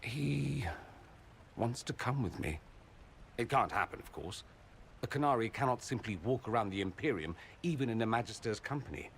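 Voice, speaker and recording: A man's voice: medium pitch, animated, moderate pace, creaky, slight background noise. A man voicing a witty, urbane mage nobleman in a video game, velvety and flamboyant with a dry, arch delivery. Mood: subdued, wry.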